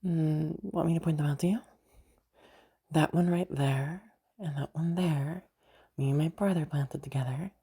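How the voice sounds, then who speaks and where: A low, mellow voice, a woman acting it out as a caring, slightly witchy party host in an audio roleplay. She is soft and husky, speaking straight to the listener with teasing playfulness and rambling, soothing reassurance. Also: normal speed, long pauses, full character voice. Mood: soft, reassuring.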